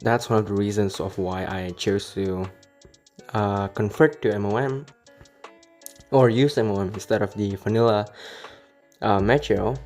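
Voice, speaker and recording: A young Indonesian man with an Indonesian accent, giving relaxed, rambling commentary over city-building gameplay, full of fillers and self-corrections. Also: lowish voice. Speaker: city-builder video creator. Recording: voice-over with faint background music.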